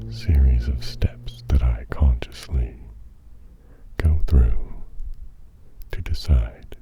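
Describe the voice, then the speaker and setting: Very slow, deep whispering man. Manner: hushed, mostly whispered, halting with long pauses; musing, deliberate short phrases. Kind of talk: improvised ASMR monologue. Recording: dull, muffled audio with faint noise.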